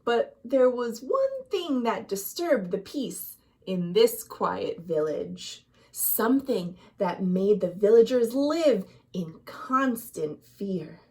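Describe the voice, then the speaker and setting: Female storytime reader, high voice, unhurried, dramatic delivery for young children. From United States. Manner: expressive, dramatic, deliberate delivery with hushed phrases. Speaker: children's librarian, storytime host. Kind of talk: animated picture-book storytelling for children.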